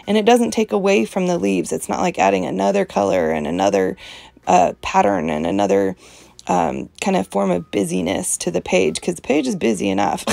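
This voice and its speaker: A woman with a heavily gravelly, fry-laden voice talks in an earnest, emphatic, chatty way as she hosts an art journaling session. Her voice is strong and opinionated. The sound is dry, with almost no room around it. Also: lowish voice, a little mellow, dry recording. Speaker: host of an art journaling channel. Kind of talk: casual devotional chat while art journaling.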